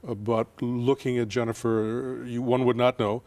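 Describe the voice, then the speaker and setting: A man hosting a television interview show, talking casually with frequent fillers and restarts. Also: mid-range voice. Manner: relaxed, halting, many fillers and restarts. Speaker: TV show host.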